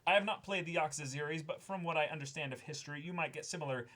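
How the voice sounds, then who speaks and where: A man's voice: fairly high pitch, racing, sharp tone. A young man co-hosting an unboxing show, reading a letter aloud with casual asides and now and then stumbling and correcting himself. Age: young adult. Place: small indoor room, seated before a backdrop.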